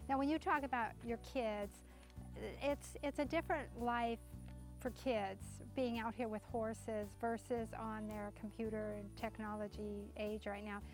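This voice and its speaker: A woman's voice: on the high side, fairly even intonation, measured pace, soft background music, rough recording. Effusive woman host interviewing locals. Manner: effusive, enthusiastic. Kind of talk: friendly interview.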